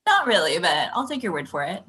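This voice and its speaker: Actress as wry, polite girlfriend, thirty, animated intonation, comedy script read. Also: on the low side, a little fast. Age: young adult.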